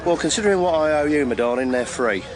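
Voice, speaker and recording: An actor playing a chummy ticket tout and small-time rogue in a radio drama, with cheeky, ingratiating patter. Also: male voice, fairly high pitch, animated, quick pace, close to an everyday voice. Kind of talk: BBC radio drama acting. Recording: busy street crowd behind.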